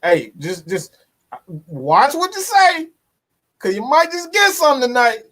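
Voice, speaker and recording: Dude hyping up his sports stream, high voice for a guy, cocky and cussing. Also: very animated, a little slow, fairly bright tone. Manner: hype-man bravado, swearing, boastful. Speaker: sports talk show host. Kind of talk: casual live stream banter.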